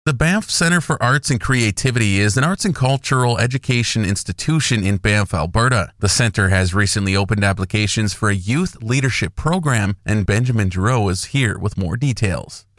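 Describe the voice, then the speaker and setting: Man reading radio news, low, dry. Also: moderate pace, few pauses, fairly bright tone, no room sound. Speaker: news anchor.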